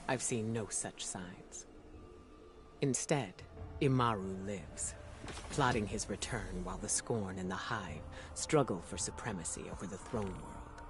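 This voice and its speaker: An actor playing an earnest, weary but resolute military commander in a video game, measured and authoritative. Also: male voice, mid-range voice, close to an everyday voice. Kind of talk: video game cinematic acting. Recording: music behind the voice.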